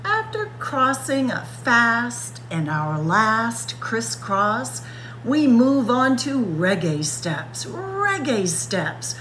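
Very slow, expressive woman reading a storybook. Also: fairly high pitch, a little hiss or hum, poor audio. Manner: expressive, child-directed delivery, stretching and acting out words. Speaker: librarian reading aloud for children. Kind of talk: picture-book story time read-aloud. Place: indoors, library room with bookshelves.

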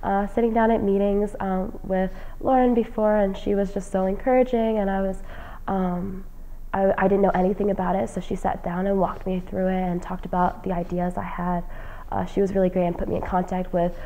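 A woman's voice: mid-range voice, level tone, fairly quick, warm tone, low-quality recording. A young woman from New Jersey, a graduating college senior, answering interview questions in a casual, earnest way with many "um" fillers. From New Jersey, United States. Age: young adult. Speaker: graduating senior, fellowship recipient. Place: indoor lounge with plants and leather chair.

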